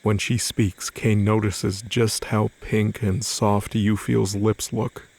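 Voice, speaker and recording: A man's voice: lowish voice, little pitch movement, slow, darkish tone. A man reading scripted narration for an audio drama in a dramatic storytelling style. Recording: faint music behind the voice.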